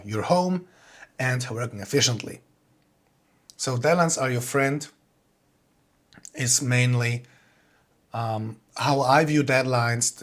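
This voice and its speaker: A man with a Swiss accent speaks at a middling pitch, presenting informally to an online group. He explains his points in a direct, plain-spoken way, like a working composer sharing practical know-how. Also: fairly bright tone. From Switzerland. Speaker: composer giving a talk.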